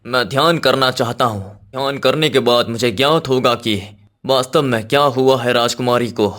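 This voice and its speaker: A man voicing a solemn, measured holy sage. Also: medium pitch, fairly bright tone, natural voice. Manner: formal, measured, Sanskritized diction. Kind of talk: cartoon moral-story acting.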